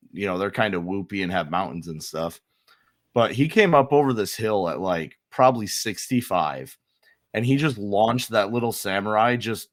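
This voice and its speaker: A man with an American accent and a somewhat deep pitch tells anecdotes in a relaxed conversational style. He is informal and slangy and swears now and then. The recording is dry, without audible room sound. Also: dry recording. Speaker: podcast co-host. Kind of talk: casual anecdotal storytelling.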